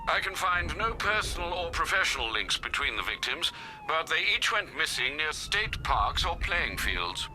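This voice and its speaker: Older butler, formal, deferential, expressive intonation, grave concern, game cutscene, noisy background. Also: male voice, on the high side, full character voice. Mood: dutiful, formal. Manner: formal, deferential, measured, dryly understated. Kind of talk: video game cutscene acting.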